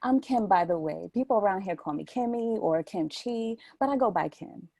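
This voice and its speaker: A woman's voice: medium pitch, expressive, normal speed, creaky, warm tone, no echo, clean studio sound. A woman playing a bold, teasing, insistent city woman in her thirties in an audio play. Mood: pointedly challenging.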